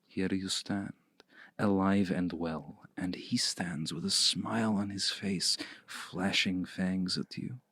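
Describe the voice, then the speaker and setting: Man acting as seductive possessive vampire, deep, husky, creaky, slow deliberate delivery, hushed intimate ASMR. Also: steady tone, no echo, full character voice. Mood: smug, teasing. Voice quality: low, gravelly, breathy. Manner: slow, deliberate, hushed, often breathy near-whisper. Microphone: close mic, dry.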